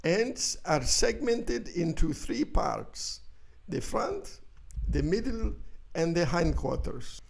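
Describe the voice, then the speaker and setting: A man voicing a slow, pompous, dim-witted fake insect expert, lecturing solemnly in a radio comedy. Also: on the high side, very animated, vocal fry, pitched above the performer's own voice, exaggerated voice. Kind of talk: parody news interview clip.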